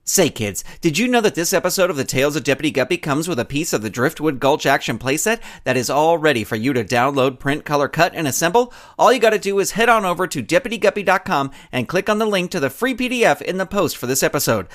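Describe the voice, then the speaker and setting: High, animated man announcing show credits. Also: fast talker, hardly any pauses. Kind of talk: scripted credits and promo read for children's podcast.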